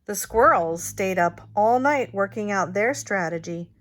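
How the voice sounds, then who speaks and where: Lady reading a picture book to little kids, slow and expressive, medium pitch. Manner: deliberate, expressive storytelling. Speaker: teacher reading aloud. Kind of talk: storybook read-aloud for young children.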